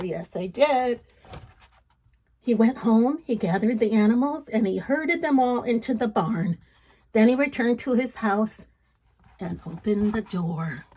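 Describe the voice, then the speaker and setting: A woman tells a children's story in a fairly high voice. She takes it very slowly and reads with playful drama, as if drawing young listeners in with questions. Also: darkish tone, husky, low-quality recording. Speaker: storybook reader. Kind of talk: expressive children's read-aloud storytelling.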